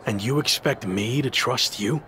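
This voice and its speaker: A man's voice: medium pitch, a little slow, sharp tone, slight background noise. An actor playing a suspicious, hostile male wrestling rival in a dramatic game cutscene. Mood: wary, hostile.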